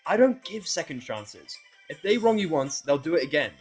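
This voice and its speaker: A man's voice: fairly high pitch, sing-song, moderate pace, slightly rough, soft background music, voice pushed up. A man playing a sullen, bitter young man who grumbles and snaps in a fan audio drama. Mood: angry. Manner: snarling, grumpy. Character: sullen, sweary, bitter young human male outsider.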